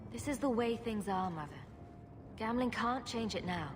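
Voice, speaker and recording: Woman playing a wry, animated young heroine. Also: a little high, moderate pace, background noise, natural voice. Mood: wry, calm. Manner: dry, teasing, self-assured. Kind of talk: video game cutscene acting.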